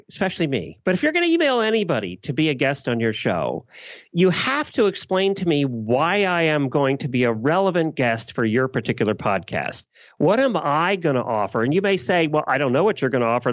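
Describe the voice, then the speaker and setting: A man's voice: fairly high pitch, sing-song, brisk, no room sound. A man being interviewed as a podcast guest over an internet call, speaking casually in a blunt, emphatic way. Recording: dull, dry internet call.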